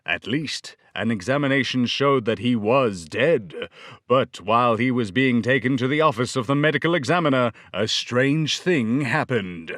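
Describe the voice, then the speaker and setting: A man plays an earnest 1930s radio announcer. His mid-pitched voice delivers scripted lines with a pitchman's emphasis. The sound is dry, with no room echo. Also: animated, moderate pace, a bit bright, lightly acted. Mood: dramatic narration.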